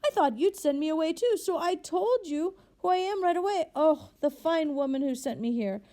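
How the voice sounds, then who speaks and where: A woman's voice: on the high side, moderate pace, dark tone, natural voice. A woman reading aloud and voicing a desperate forty-six-year-old ex-convict man who stammers and pleads. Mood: stammering, astonished. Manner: stammering, pleading, tumbling words.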